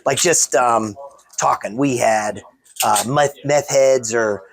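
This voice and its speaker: A man's voice: mid-range voice, takes it slowly, vocal fry, fairly bright tone, no room sound. Male host, American, mid-range voice, loose rambling interview style, little room sound. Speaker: state legislator and show host. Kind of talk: casual on-location interview.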